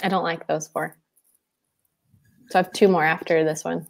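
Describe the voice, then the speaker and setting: A young woman, an English teacher, chatting casually on a home video call, relaxed and using lots of filler words. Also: lowish voice, normal speed, gravelly. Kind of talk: casual livestream lesson chat. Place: small room at home, indoors. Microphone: earphone mic on a video call.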